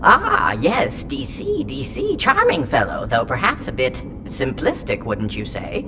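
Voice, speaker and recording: A woman's voice: a bass voice, huge pitch swings, unhurried, full character voice. A woman voicing an ancient, whimsical and haughty alien entity inside a small robot in an audio drama, speaking in theatrical, rambling, pompous riddles. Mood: whimsical, musing. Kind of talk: full-cast audio drama acting. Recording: clear noise bed behind the voice.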